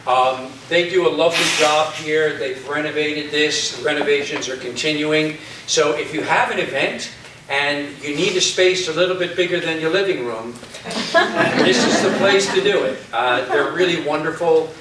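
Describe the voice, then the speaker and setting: Male host, informal and halting, thanking a crowd from far off the mic in a reverberant hall. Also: on the high side. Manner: rambling, conversational, halting. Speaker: host of a staged reading. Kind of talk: informal closing thanks to an audience. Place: hall at a community center. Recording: echoey hall, distant mic, audience noise, hiss.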